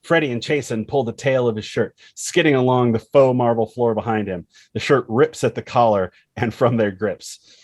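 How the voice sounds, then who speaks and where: A man's voice: mid-range voice, moderate pace, no room sound. A man narrating screenplay action and scene headings as a scripted table read. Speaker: narrator reading stage directions.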